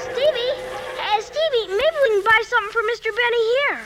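Eager, very high-voiced little boy. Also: fairly quick, over loud music. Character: eager young boy, club member out shopping. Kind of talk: 1940s radio comedy acting.

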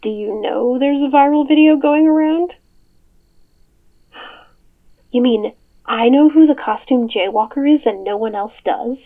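A woman's voice: sits high, normal speed. A woman acting as a friendly, teasing mom in an audio drama, talking casually through a phone call filter. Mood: amused, teasing. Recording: dull, band-limited phone call sound.

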